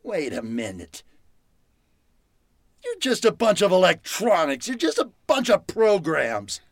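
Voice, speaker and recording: Performer as a slow, stammering, frightened captive. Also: medium pitch, huge pitch swings, no echo, studio quality, full character voice. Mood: bewildered, pleading. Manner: stammering, pleading, bewildered. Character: frightened, flustered human held captive by household robots. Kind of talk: old-time radio horror acting.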